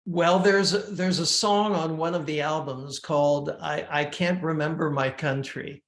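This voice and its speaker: An older man from Uruguay, a musician guest, talking in a measured, reflective way with frequent restarts. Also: a little high, steady tone, fairly bright tone. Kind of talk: casual interview talk. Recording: echoey room.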